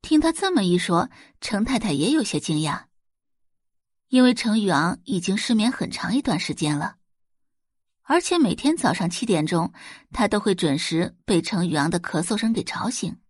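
A woman narrates a dramatic audio novel with lively, sweeping intonation. Her voice sits at a medium pitch with a slightly husky grain, recorded dry and close. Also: moderate pace, no echo.

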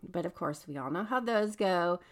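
An adult woman in her thirties hosting a podcast, chatting about books in a bubbly, enthusiastic way, her voice slightly raspy as she gets over a cold. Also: on the low side, lively intonation, moderate pace. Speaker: podcast host. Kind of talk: casual book review chat.

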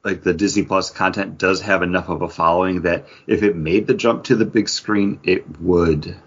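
Male podcast co-host, low and slightly rough voice, casual fan chat, enthusiastic, dulled lo-fi audio. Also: fairly even intonation, normal speed. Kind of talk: casual geek news discussion.

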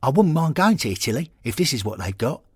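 A man's voice: mid-range voice, big pitch swings, quick pace, slightly rough, no room sound, clean studio sound, voice pushed up. Wide-eyed young lad of the team, simple and eager, read by a man. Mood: delighted. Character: young male footballer, naive and eager.

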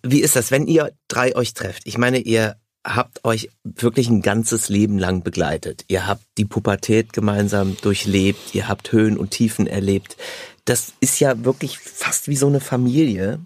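A man's voice: lowish voice, normal speed, no room sound. A man chatting casually as an interviewer, putting informal questions to his guest. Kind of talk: casual chat.

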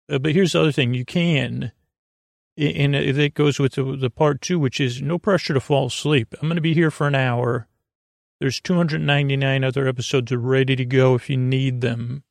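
Male bedtime-podcast host: creaky fry, dry sound, low-key meandering delivery with unfinished thoughts. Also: steady tone, no echo. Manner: meandering, halting, trailing off mid-thought. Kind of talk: rambling, casual bedtime monologue.

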